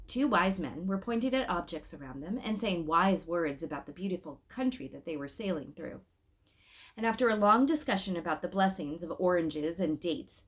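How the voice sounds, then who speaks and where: Woman reading a storybook aloud. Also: medium pitch. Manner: expressive, addresses listeners directly with questions. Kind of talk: storybook read-aloud with asides to young listeners.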